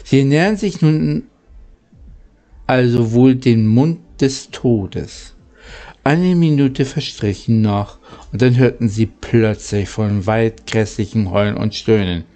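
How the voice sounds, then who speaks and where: A man narrating a children's story from a script, in a halting way that stumbles over words. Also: medium pitch, mellow. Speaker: audiobook narrator.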